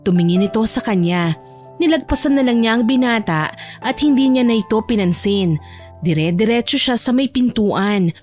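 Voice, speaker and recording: A woman's voice: medium pitch, darkish tone. A woman narrating a scripted romance story as an audiobook-style narrator.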